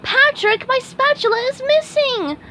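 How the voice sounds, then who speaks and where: A woman voicing an excitable young male sea sponge fry cook in a storybook read-aloud, shrill and overexcited. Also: unhurried, heavily acted. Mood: eager.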